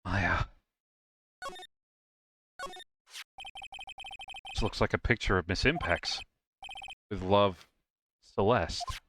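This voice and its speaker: A man's voice: deep voice, unhurried, background noise. A man voicing an earnest but awkward, wryly crude defense lawyer in a comedic game fan dub. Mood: puzzled. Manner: hesitant, jokey, theatrical.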